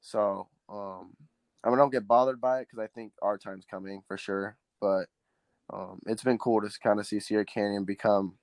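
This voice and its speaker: A teenage quarterback from Southern California, a native Spanish speaker, answering interview questions earnestly with many ums and restarts. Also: male voice, fairly low pitch, fairly even intonation, moderate pace, darkish tone, no room sound. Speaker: high school quarterback guest.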